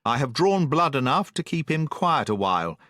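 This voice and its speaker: A man's voice: on the high side, big pitch swings, fairly bright tone, no room sound, pitched above the performer's own voice. Man doing a stern proper old-timey doctor, raised voice, clipped and bossy, dry sound. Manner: brisk and severe, precise speech. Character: stern, educated middle-aged country doctor.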